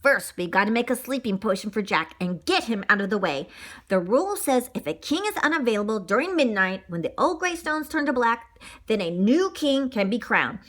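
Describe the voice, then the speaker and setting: A woman's voice: a little high, sing-song, moderate pace, fairly bright tone. A woman voicing a conniving, commanding male wolf who leads bandits in a children's storybook. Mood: scheming. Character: scheming, bossy male wolf bandit leader.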